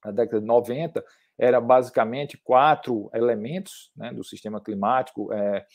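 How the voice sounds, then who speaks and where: Male, middle-aged, Brazilian accent. Mellow mid-pitched voice, halting academic lecturer delivery with repeated words. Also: steady tone. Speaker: climate researcher, guest lecturer. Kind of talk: slide lecture at an online academic workshop.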